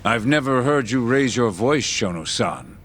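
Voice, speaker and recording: A man playing a smooth, coolly threatening government official in a video game, formal and measured. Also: medium pitch, animated, a bit bright, a little hiss or hum. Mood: coolly polite. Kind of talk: video game drama acting.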